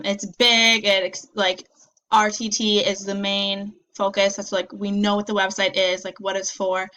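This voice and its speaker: A woman's voice: medium pitch, fairly bright tone, poor audio. Young woman, chatty, slightly nasal from a cold. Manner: chatty, many likes and ums, upbeat. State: getting over a cold, a little nasal. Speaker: student giving peer feedback. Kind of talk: casual spoken review.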